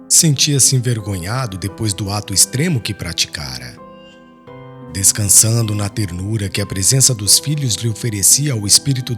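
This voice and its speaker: A man narrating an audiobook in a solemn, emotive and formal literary style. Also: lowish voice. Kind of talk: dramatic scripted literary narration.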